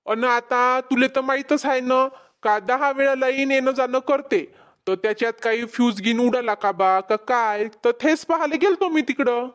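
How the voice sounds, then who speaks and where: A woman's voice: sits high, moderate pace, clear, resonant tone, natural voice. A woman voicing a concerned, reasoning village farmer husband in a cartoon comedy, with a Vidarbha Marathi accent. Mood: placating, explaining. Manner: placating, explaining, gently chiding.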